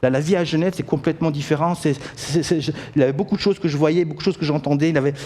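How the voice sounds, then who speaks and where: Belgian French man, middle-aged, high voice; impassioned church preacher who stumbles and restarts, then lands lines hard. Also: steady tone, rapid-fire, a little mellow. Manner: impassioned preaching, rising to emphatic declarations with frequent restarts. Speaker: pastor. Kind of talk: sermon. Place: church sanctuary.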